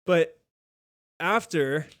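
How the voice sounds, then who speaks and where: Laid-back podcast host saying "dude" constantly. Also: male voice, on the high side, extremely slow, no room sound, studio quality. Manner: laid-back, rambling, says "dude" and "like" a lot. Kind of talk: casual chat.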